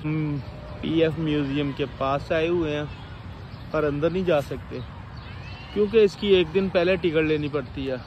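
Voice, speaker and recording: A man's voice: a little high, steady tone, normal speed, dull audio. A man in his thirties with a Pakistani accent, chatting casually to camera and to children as he films a family vlog on his phone. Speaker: family vlogger. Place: busy amusement park and outdoors. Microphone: phone at arm's length. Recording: noisy phone recording amid crowd clamour.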